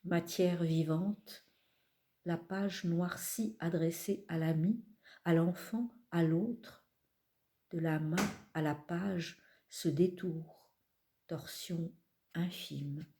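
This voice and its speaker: A woman poet reading her own poems aloud in a calm, measured way, pausing between phrases. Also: fairly low pitch. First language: not French. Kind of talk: slow poetry reading.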